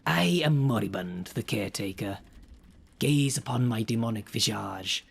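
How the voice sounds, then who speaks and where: A man acts out a haughty demon caretaker in an audio drama, husky and on the low side. He speaks formally and grandly to a visitor, with the dry sarcasm of a salesman of monsters. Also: animated, moderate pace, exaggerated voice. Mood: grandly menacing. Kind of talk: scripted audio roleplay, addressing the listener.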